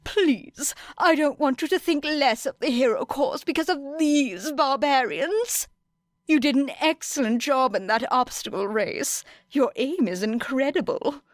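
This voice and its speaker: A woman's voice: high voice, lively intonation, a little slow, no room sound, clean studio sound, voice pushed up. A woman voicing a smug teenage boy with pompous, ingratiating, falsely polite manners.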